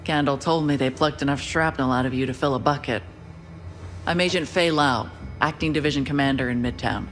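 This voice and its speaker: A woman playing a steady, candid agent and acting commander in a game cutscene, briefing in a matter-of-fact, blunt way. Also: extremely low pitch, fairly quick. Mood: grim, frank. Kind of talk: scripted game cutscene dialogue. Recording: music behind the voice.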